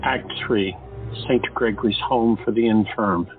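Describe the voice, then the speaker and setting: A man narrating an audio drama in a steady storytelling manner. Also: lowish voice, a little slow. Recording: tinny phone-like sound, faint music.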